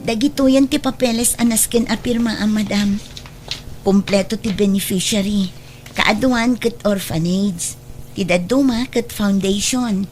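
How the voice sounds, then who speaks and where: A woman's voice: mid-range voice, unhurried, a little mellow, slight background noise, natural voice. A woman playing a dutiful, deferential female assistant in a radio drama, with an Ilocano accent. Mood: polite, attentive.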